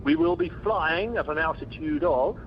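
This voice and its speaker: A man's voice: on the high side, normal speed, lightly acted. A man playing a breezy, self-important and bumbling airline captain in an amateur comedy radio play. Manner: pompous, bumbling announcer patter. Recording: muffled conference-call line with noise.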